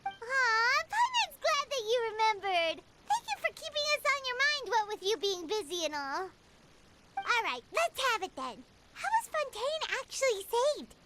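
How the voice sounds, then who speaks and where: A woman's voice: extremely high pitch, big pitch swings, a little slow, very noisy, exaggerated voice. A woman voicing a tiny, curious floating fairy companion in a game, speaking of herself in the third person and asking eager questions. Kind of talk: game character dialogue. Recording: game audio with loud music behind. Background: music.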